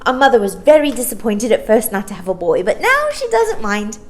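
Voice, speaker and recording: A bubbly little star-child from a children's storybook, acted out by a woman. The voice sits high and leaps about with gushing, exclaiming delight. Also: big pitch swings, a little fast. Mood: gleeful.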